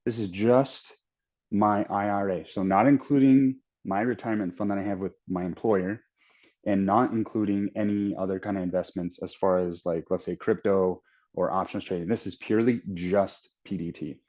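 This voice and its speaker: Low-voiced man in his thirties, thinking aloud. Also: fairly even intonation, normal speed, a little mellow. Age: 30s. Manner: rambling, thinking aloud with restarts while calculating. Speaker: trading channel host. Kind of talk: casual screen-share walkthrough. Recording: dull lo-fi sound.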